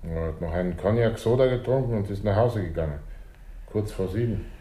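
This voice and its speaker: An actor playing a worried, deferential hotel keeper giving dutiful reports in a 1960s German radio crime drama. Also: male voice, fairly low pitch, fairly quick, mellow, echoey room, natural voice.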